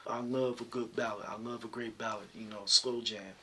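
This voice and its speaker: A man with a deep talking voice, answering interview questions about his singing in a relaxed, reflective way. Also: moderate pace, a little hiss or hum. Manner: relaxed, reflective, many "you know" fillers. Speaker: singer guest.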